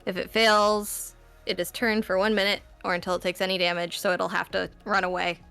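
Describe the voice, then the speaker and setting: A woman with a bright, very clear voice explains game moves and rules as a tabletop player. Soft music sits behind her. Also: mid-range voice, little pitch movement, brisk. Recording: music under the voice.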